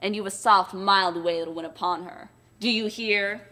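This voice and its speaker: Woman putting on a loud, bullying villainous dwarf. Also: mid-range voice, fairly even intonation, moderate pace, big-room sound, natural voice. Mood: menacing. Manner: loud, commanding, threatening.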